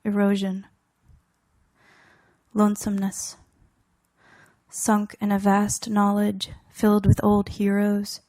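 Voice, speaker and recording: A woman recites her poems with deliberate care, setting down short fragments and weighted pauses. Her pitch moves within a very narrow span, and her tone is soft-edged and mellow. The sound is close and dry. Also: completely flat delivery, no room sound. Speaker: poet reading own work. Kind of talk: poetry reading, measured recitation.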